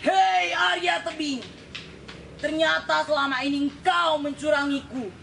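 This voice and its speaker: Storytelling role: jealous young prince, confrontational and declamatory, high-pitched played by a young woman, faint noise. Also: vocal fry, fairly bright tone, full character voice. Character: jealous, accusing young prince spoiling for a fight.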